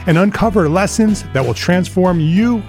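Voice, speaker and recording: A man's voice: high-pitched, moderate pace, a little mellow, soft background music. A man working as a podcast host, delivering scripted introductions and interview questions with an emphatic, promotional manner.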